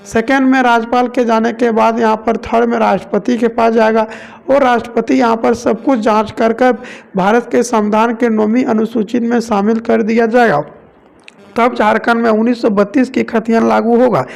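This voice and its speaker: Male news presenter, very high pitch, flat intonation, gravelly creak. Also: moderate pace. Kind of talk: news narration.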